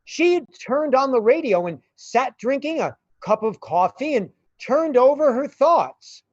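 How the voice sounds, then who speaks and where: A man's voice: extremely high pitch, very animated, a little slow, darkish tone. A man narrating a radio play in a dramatic storytelling style. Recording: dull, roomy amateur recording.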